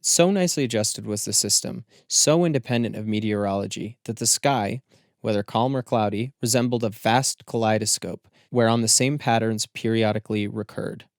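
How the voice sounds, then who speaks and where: A man reading scripted literary narration as an audiobook narrator, with measured, deliberate phrasing. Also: fairly low pitch, no room sound, clean studio sound.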